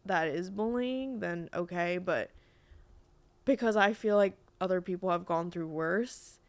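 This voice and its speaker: A woman's voice: fairly low pitch, unhurried, no room sound. A young woman whose first language was Vietnamese, chatting casually as a podcast guest with lots of fillers. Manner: chatty, many fillers and restarts. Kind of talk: casual chat.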